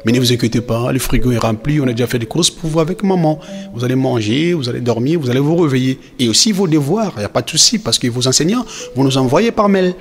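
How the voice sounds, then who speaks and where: A man's voice: medium pitch, lively intonation, fast talker, soft background music, natural voice. A man playing a reassuring father in a cartoon, explaining things patiently and a little hesitantly to his children. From Democratic Republic of the Congo. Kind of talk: animated film dialogue, semi-improvised explanation.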